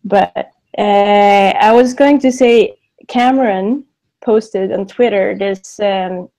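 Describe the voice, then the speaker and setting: Woman, from sweden, swedish native speaker, mellow warm voice, animated casual stream chat. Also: mid-range voice. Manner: animated, laughs often, quick bursts. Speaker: podcast co-host.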